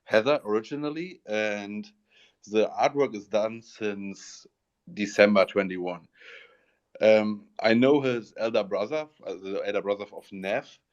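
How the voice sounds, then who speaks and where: A man with a German accent, a guest in an online audio room, talking haltingly as he searches for English words. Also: fairly low pitch. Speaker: guest, project founder. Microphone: online voice call. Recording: muffled online voice call.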